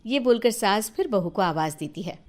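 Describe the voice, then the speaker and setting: A woman narrating a scripted story in an expressive, playful and dramatic way. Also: mid-range voice, normal speed.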